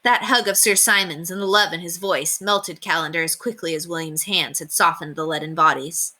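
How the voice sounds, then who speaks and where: Woman reading a kids book out loud, expressive, lowish pitch, really bright tone. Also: normal speed. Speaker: read-aloud storyteller. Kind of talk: expressive storybook narration.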